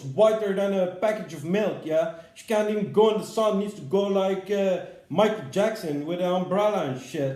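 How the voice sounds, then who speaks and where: A man's voice: high voice, roomy sound. A man from the Netherlands, ranting angrily as a commentator and swearing a lot. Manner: heated, swearing, shouting bursts. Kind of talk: angry profane rant.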